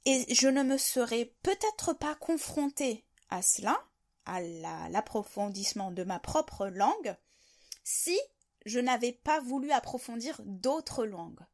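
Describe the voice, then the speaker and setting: A woman's voice: on the high side, expressive, a little slow, darkish tone. A woman talking to camera in an unscripted monologue, reflecting on her ideas and rephrasing them as she goes. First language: French. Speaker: video host sharing language-learning reflections.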